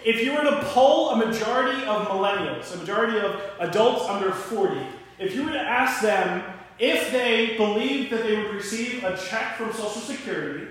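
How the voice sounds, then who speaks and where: Man in his 30s, pastor preaching, high voice, earnest and restarting, very echoey church room. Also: expressive, normal speed, a bit bright. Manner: earnest, repeats words while thinking aloud. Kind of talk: sermon. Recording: very echoey room, faint noise.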